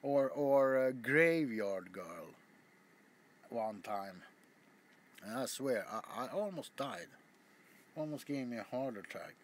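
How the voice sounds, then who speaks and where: A man's voice: mid-range voice, expressive, slow, pauses often, slight background noise. A man chatting casually to his viewers as a video host, rambling with frequent stammers, restarts and casual swearing. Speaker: ASMR YouTuber.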